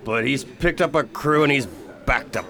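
A man playing a rough, plain-spoken merchant sea captain in a radio drama, telling things in folksy sailor dialect. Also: medium pitch, normal speed, lightly acted. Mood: grim. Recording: busy crowd noise behind voice.

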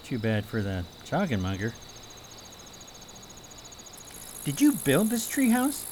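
A woman's voice: rumbling low, moderate pace, a little hiss or hum, full character voice. A woman playing an earnest, anxious and awkward young prince in a radio play. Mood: friendly. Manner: earnest, excitable, hesitant when shy. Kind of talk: radio theater acting.

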